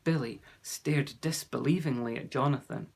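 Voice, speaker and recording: A man reading a children's story aloud with expressive storytelling. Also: medium pitch, normal speed, vocal fry. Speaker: narrator.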